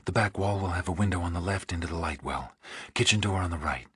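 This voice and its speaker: Practical, wary female investigator, played by a male narrator: breathy half-whisper, hushed, questioning, dry close sound. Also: low voice, fast, no room sound, full character voice. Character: wary, practical woman investigator working alongside partner. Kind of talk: audiobook thriller character acting.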